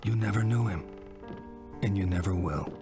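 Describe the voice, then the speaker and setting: A man's voice: low voice, moderate pace, creaky. An actor playing a provocative, impatient male psychiatrist in a video game, theatrical and pointed, asking rhetorical questions. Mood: grave, insistent. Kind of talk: dramatic video game acting. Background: music.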